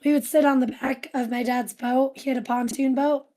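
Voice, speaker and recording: Higher-voiced woman in casual banter. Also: steady tone, moderate pace, mellow. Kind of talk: casual chat.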